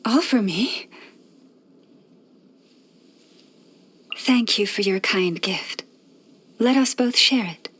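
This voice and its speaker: A woman playing a gentle, serene young priestess in a video game, speaking softly, formally and reflectively. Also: mid-range voice, husky. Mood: gracious, polite. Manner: soft, measured, formal, reflective. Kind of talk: scripted video game character voice lines.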